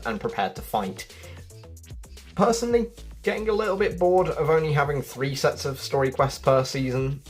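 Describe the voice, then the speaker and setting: A man giving casual, chatty gaming commentary, addressing his viewers directly as a video host. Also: medium pitch. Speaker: video game news YouTuber. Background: background music.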